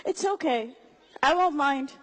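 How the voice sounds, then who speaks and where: A young woman with a high voice speaks to a live audience. She talks in an engaging, rhetorical way, building repeated phrases for emphasis and drawing the crowd in with questions. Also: expressive, measured pace. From Somali heritage, raised abroad. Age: young adult. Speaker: student speaker addressing an audience. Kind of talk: public talk.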